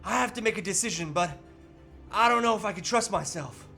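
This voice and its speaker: A man's voice: sits high, lively intonation, a little fast, vocal fry, bright, forceful tone, faint music behind, heavily acted. A man playing an anguished, reluctant family man in a comedic table read, earnest and a little whiny. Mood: torn, anguished.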